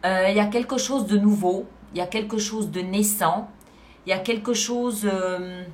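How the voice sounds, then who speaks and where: A woman's voice: mid-range voice, moderate pace, darkish tone. Woman giving an emphatic tarot reading. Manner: emphatic, explanatory, repeating phrases for emphasis. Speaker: tarot reader and channel host. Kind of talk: spoken tarot card reading addressed to viewers.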